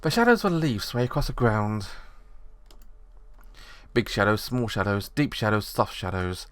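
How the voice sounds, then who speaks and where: Low-voiced man reading game narration. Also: unhurried, no echo. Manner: steady reading with small slips. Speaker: narrator reading a visual novel.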